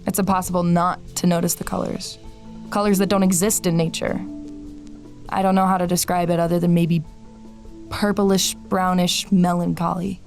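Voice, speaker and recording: An actress plays a wary woman on the road in an audio drama. Her voice sits slightly low, and she is dry, sarcastic and skeptical. Faint music runs underneath. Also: little pitch movement, moderate pace, darkish tone, lightly acted. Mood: wry, observant. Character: Amber, wary sardonic traveler narrating a strange road trip. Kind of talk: audio drama dialogue and first-person narration. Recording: music bed behind voice.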